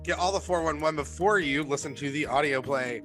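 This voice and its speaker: A man with a bright, slightly high voice reads out a promotional update over music. He explains things step by step in a semi-scripted announcer style. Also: a little fast. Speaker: announcer, theatre community engagement manager.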